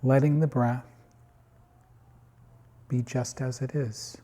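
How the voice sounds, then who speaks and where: A man guiding a meditation in calm, unhurried short phrases with long pauses, his voice slightly husky. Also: medium pitch. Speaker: meditation guide. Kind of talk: guided body scan meditation.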